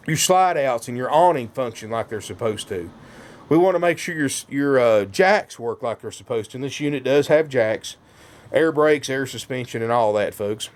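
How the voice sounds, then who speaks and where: A man giving a folksy sales walkthrough of a motorhome while getting over a cold. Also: medium pitch. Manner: folksy, keeps saying "folks". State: has a cold. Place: inside a motorhome.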